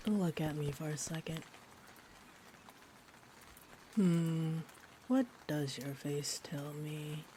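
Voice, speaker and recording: A woman playing a sweet, shy female vampire in an intimate roleplay audio, rambling gently and hesitantly. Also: low-pitched, poor audio. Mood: teasing, gentle. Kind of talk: roleplay audio, intimate one-sided chat. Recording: steady rain-like ambient noise. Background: rain ambience.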